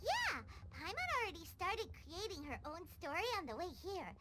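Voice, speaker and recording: A woman's voice: very high-pitched, wildly swooping pitch, normal speed, very noisy, heavily acted. A woman voicing a small, childlike fairy sidekick in a video game, chatty and eager, with exclamatory delivery. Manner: refers to self in third person, exclamatory. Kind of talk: animated game cutscene dialogue. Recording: music behind the voice.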